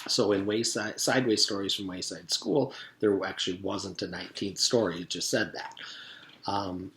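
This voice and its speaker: A man reading a children's book aloud as a teacher would for pupils, with expressive storytelling. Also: fairly low pitch, background noise. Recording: echoey, reverberant room.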